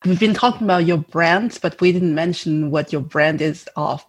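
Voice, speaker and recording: A woman's voice: fairly low pitch, moderate pace, slightly rough. A woman hosting an interview, reading a scripted introduction of her guest and then asking questions. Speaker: interview host.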